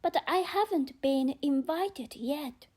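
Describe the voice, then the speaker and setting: Woman playing a high-voiced, curious young girl. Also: lively intonation, slow, a little mellow. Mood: puzzled, polite. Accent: non-native English. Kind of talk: audiobook character reading.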